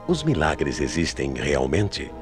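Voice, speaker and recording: A man's voice: extremely low pitch, very animated, slow, over music. A man with a Brazilian accent giving solemn, suspenseful scripted narration for radio mystery tales.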